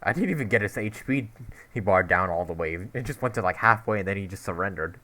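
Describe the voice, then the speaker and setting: A man's voice: sits low, expressive, quick pace, sharp tone. Profane mocking gamer guy. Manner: profane, mocking, laughs a lot. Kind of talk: casual gameplay commentary.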